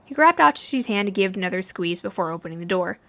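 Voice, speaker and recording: A woman's voice: medium pitch, expressive, fast talker, fairly bright tone. A woman reading fan fiction aloud as a podfic narrator, in storytelling prose with acted dialogue.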